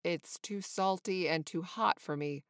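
Woman as a low-key, deadpan little sandpiper. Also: a little slow, dry recording, clean studio sound. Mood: laid-back. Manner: laid-back, deadpan. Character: calm, friendly, matter-of-fact young male sandpiper.

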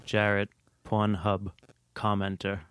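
A man with a lowish voice hosts a casual podcast, cracking jokes and tossing in follow-up questions. His close mic sounds dry, with no room around it. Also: completely flat delivery, slow, clean tone, dry recording. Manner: joking, interviewing with follow-up questions. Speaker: podcast host.

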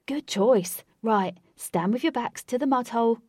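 A woman voicing a laid-back male party organiser who talks loudly and breezily and calls people bro. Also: a little high, a little slow, no room sound, clean studio sound, pitched above the performer's own voice. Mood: cheery.

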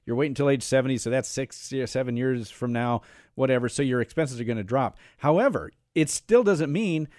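A man's voice: medium pitch, a little fast, a little mellow, dry recording, clean studio sound. A man hosting a talk about money, setting up examples in a hesitant way with many restarts. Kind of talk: conversational interview.

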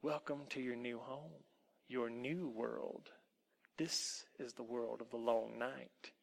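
A man voicing a cold, imperious young wolf-woman in a storybook tale, speaking slowly and ominously. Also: mid-range voice, fairly even intonation, creaky. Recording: long hall-like reverb.

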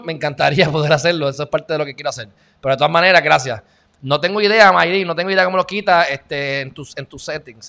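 A man's voice: fairly high pitch, bright, forceful tone. A young man with a Puerto Rican accent hosting a casual live-stream news chat, sarcastic and greeting viewers by name. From Puerto Rico. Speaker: channel host.

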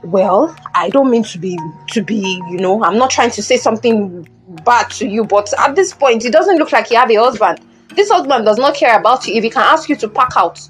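A woman plays a devout Nigerian older confidante in an audio drama. She is calm, firm and soothing, offering counsel over faint music. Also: on the high side, fairly quick, lightly acted, rough recording. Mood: firm, reassuring. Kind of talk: conversational audio drama acting.

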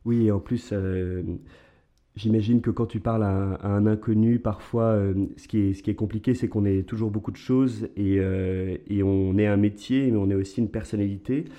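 A man's voice: on the low side, steady tone, moderate pace, dark tone. A man hosting an interview podcast, talking casually with frequent hesitations. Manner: hesitant, many fillers. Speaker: podcast host. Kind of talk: conversational interview.

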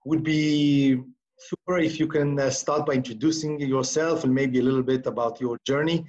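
A man hosting a podcast, with a voice of middling pitch. He opens the show in a scripted way, then asks his guest questions hesitantly, full of ums and restarts. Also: fairly even intonation, normal speed, darkish tone, muffled recording. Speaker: podcast host. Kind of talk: interview hosting.

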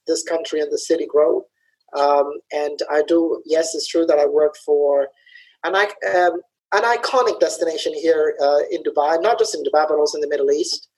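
A relaxed man from Somalia answers interview questions over a video call. He talks in an easygoing, hesitant way, filling his pauses with ums and light laughs. His voice has a slightly husky edge. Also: a little high, rough recording. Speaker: interview guest, festival organizer. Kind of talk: casual conversational interview.

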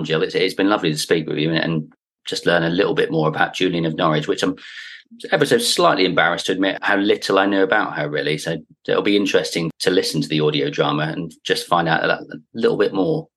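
A man presenting a podcast in a chatty, informal and gently self-deprecating way. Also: deep voice, lively intonation, fast talker. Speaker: podcast host and interviewer.

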